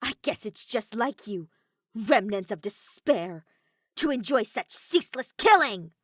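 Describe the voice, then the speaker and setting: A fierce teenage girl, put on by a woman for a fan fiction audiobook. She is hot-tempered and snappish, leaping high in pitch with intense force and volume. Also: animated, measured pace, no room sound, studio quality. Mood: snarling anger.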